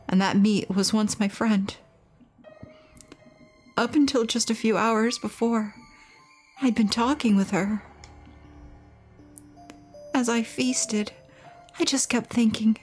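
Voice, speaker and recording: A woman voicing a starving, guilt-ridden schoolchild reading out a confession in a horror game, deliberate and sorrowful. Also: high-pitched, voice pushed up. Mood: tearful, guilty.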